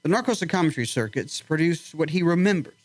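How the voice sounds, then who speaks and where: An actor in a radio drama playing a clinical doctor who speaks precisely and coaxingly to a troubled patient. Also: male voice, fairly high pitch, animated, quick pace, lightly acted.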